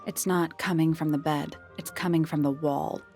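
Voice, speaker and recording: Dry sensible detective sidekick in a game, lowish creaky voice, deadpan. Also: female voice, normal speed, faint music behind. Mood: wry. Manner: wry, deadpan. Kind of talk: video game voice acting.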